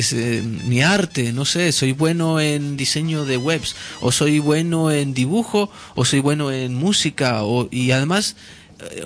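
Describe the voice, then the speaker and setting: A man explaining ideas in casual conversation, hesitant, with many fillers and restarts. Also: medium pitch.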